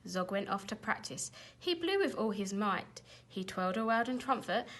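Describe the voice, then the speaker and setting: A woman teacher reading a children's storybook aloud in an animated, expressive way. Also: medium pitch, normal speed.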